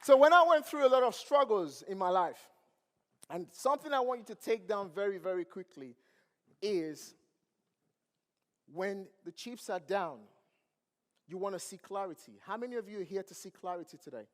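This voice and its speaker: Nigerian-accented male motivational speaker, high voice, crowd questions, ringing hall reverb. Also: expressive, moderate pace, pauses often. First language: not English. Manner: preachy, asks the crowd questions. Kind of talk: motivational stage speech. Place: large event hall.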